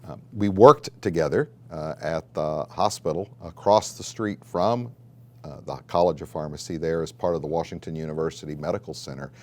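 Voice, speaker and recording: A middle-aged man with an American accent, telling his story in a measured, conversational way as he answers interview questions. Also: rumbling low, dark tone. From Southern Illinois, United States. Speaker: college dean and pharmacist.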